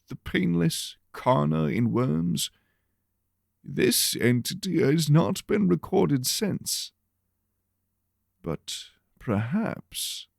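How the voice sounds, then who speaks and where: Guy doing a secretive churchman for an audio drama, formal and earnest, pitched up a bit, dry close sound. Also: creaky, dry recording, heavily acted. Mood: pondering. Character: solemn, secretive male church rector, scholarly and grave.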